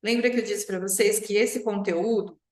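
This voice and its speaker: Female online language teacher, Brazilian accent, mid-pitched voice, instructive with frequent check-ins; roomy, echoing recording. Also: fairly even intonation, normal speed. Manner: instructive, often checks in with short tag questions. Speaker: English teacher. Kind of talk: online language lesson to viewers.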